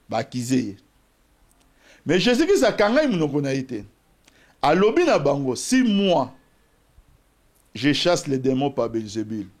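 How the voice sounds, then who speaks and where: A man from the Congo with a Congolese accent, a political guest arguing his case in a debate and quoting scripture. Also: medium pitch. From DR Congo, Bas-Congo. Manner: animated, argumentative, cites scripture.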